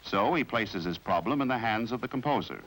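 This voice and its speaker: A man with an American accent narrates a 1950s training film from a script in a formal, measured, explanatory way. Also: on the low side, animated, a bit bright, rough recording. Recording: vintage 1950s film soundtrack with music.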